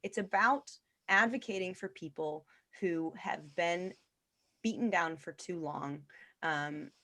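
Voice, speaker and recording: A woman's voice: lowish voice, slow pace, pushed and bright. A woman speaking casually as an expert guest in a webinar, emphatic and repeating phrases to stress her points. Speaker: guest physician and nonprofit founder. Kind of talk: casual webinar talk about esports advocacy.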